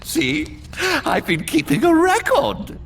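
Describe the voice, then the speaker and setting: An actor playing a crazed old prisoner in a video game, muttering erratically in a breathy, cackling voice. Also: male voice, medium pitch, very animated, measured pace, a bit bright, slight background noise. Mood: confiding, rambling. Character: crazed old male prisoner obsessively keeping a record. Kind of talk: video game character acting.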